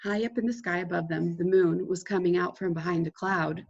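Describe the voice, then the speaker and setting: A woman reading a children's storybook aloud in an animated, dramatic way, with a husky voice. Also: lowish voice, a little fast, highs cut off.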